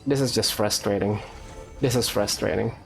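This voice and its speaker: A woman's voice: a bass voice, normal speed, vocal fry, low-quality recording. A woman giving casual gameplay commentary, reacting aloud in a wry way. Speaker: game streamer, player. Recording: faint game music behind.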